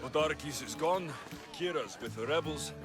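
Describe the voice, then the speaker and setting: An actor playing a young Greek mercenary hero in a game cutscene, steady and resolute. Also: male voice, fairly high pitch, a little slow. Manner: steady, rising to rousing shouts. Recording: game cutscene with music behind.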